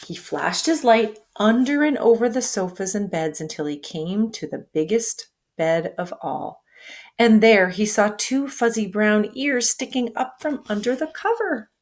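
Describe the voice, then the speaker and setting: Teacher reading a picture book aloud to children, expressive, engages the listener with questions. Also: female voice, medium pitch, unhurried.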